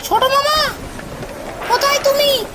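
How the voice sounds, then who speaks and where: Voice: very high-pitched, fairly even intonation, unhurried, very noisy. A performer voicing a frightened young boy in a cartoon ghost story, indignant and pleading as he calls out. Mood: scared calling. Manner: exclamatory, calling out. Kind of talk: dramatised story dialogue for cartoon.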